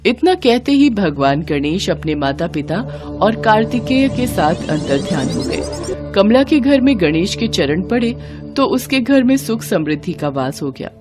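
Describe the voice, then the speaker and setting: A woman narrating a devotional children's story in a slow, even storytelling manner. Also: medium pitch. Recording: music playing behind.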